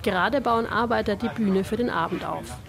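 A woman's voice: mid-range voice, normal speed, creaky. A woman reporter narrating a scripted radio feature.

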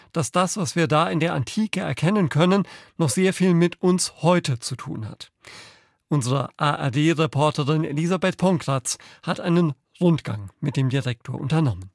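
Male radio presenter for scripted links; lighter, higher register, very dry studio acoustic. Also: gravelly, dry recording. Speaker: radio host.

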